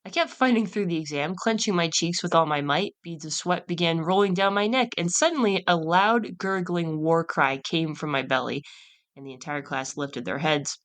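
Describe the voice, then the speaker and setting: A woman's voice: fairly low pitch, moderate pace. A woman hosting a solo podcast, reading aloud and chatting in a casual, storytelling way. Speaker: podcast host.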